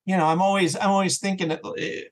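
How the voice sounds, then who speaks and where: A man with a fairly high voice talks casually as a podcast guest. He is animated and slips into acting out his own thoughts as he explains things. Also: fast. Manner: animated, often acts out his own thoughts as quoted self-talk. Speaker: podcast guest, audio drama creator and voice actor. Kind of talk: casual interview chat.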